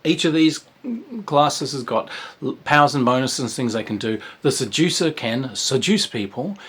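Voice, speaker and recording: A man with a husky, slightly breathy voice of middling pitch, talking through a game review in a loose, rambling way. He sighs often and drops into whispered asides and exclamations. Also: moderate pace, fairly bright tone. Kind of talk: casual spoken review.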